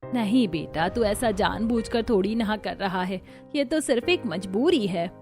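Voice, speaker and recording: A woman voicing a gentle middle-aged mother in a family cartoon, soothing and consoling. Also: mid-range voice, lively intonation, measured pace, faint music behind. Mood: concerned, consoling.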